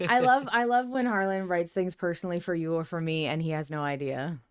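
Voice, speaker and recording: A woman's voice: on the low side, a little fast, clear, resonant tone. A woman co-hosting a podcast in casual fan chat, talking effusively and emphatically and swearing freely. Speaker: podcast co-host. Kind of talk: casual fan discussion of an audio drama.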